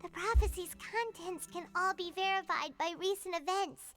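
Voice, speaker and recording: A woman voicing a tiny, eager childlike fairy companion in a video game, with a squeaky, animated delivery. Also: moderate pace. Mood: earnest explaining. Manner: animated, explaining earnestly. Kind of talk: scripted video game dialogue. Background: game music.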